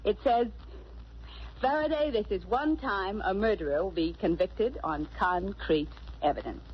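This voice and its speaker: An actress playing a quick-witted, pert young woman in a breezy, knowing 1940s radio style. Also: mid-range voice, close to an everyday voice. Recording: muffled old radio recording, faint noise.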